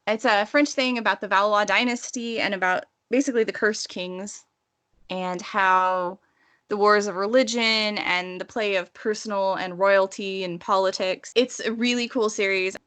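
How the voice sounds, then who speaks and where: Bright-voiced woman chatting about books. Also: mid-range voice, fairly even intonation, moderate pace, smooth. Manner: enthusiastic, digressive explainer. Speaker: podcast co-host. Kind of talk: casual, chatty book discussion.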